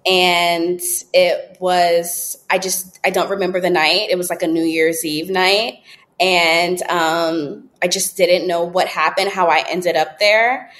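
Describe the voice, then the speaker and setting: Candid woman in her twenties, lower voice. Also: slow pace. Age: 20s. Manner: candid, relaxed, many ums and likes. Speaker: podcast guest, app founder. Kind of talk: casual interview storytelling.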